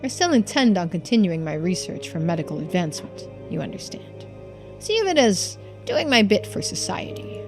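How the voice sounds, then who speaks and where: A woman's voice: on the low side, very animated, moderate pace, over music. A woman voicing a cantankerous, dismissive old male tycoon from a video game, with blunt, folksy old-timer phrasing. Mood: defensive.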